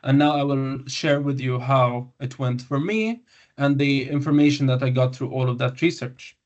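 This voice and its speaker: A man in his twenties from Syria, a doctor giving an informal webinar presentation, halting with many fillers and self-corrections over a video call. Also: mid-range voice, darkish tone. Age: 20s. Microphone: video call microphone. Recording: muffled lo-fi webinar audio.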